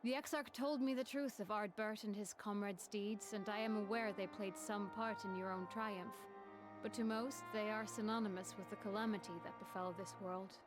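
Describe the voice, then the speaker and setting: An actress playing a composed, formal woman in a game cutscene, measured in speech and quietly caring. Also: mid-range voice, steady tone, natural voice. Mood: grave, formal. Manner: measured, formal, archaic wording. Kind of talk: dramatic video game cutscene acting. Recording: music behind the voice.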